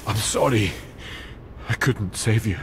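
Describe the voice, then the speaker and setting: An actor playing an earnest, protective soldier in a video game, strained and gravelly. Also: male voice, on the low side, slow pace, very noisy. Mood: sorrowful. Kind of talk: video game cutscene acting.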